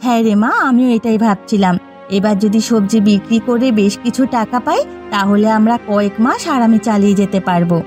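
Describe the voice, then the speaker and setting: A woman voicing a poor widowed village mother in an animated story, gentle, tender and long-suffering. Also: medium pitch, a little fast, faint music behind, natural voice. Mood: hopeful. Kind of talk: animated moral story voice acting.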